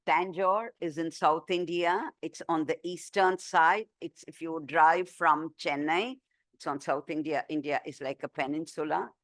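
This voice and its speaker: A woman author giving a book talk and answering questions, chatty and animated, with many fillers and restarts. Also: deep voice, unhurried, dry recording, clean studio sound. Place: talk room.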